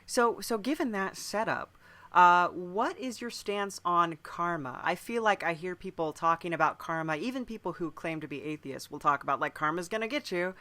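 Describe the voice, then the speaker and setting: A man's voice: sits high, normal speed, pushed and bright. A man working as a podcast interviewer, chatting casually in a musing, discursive way.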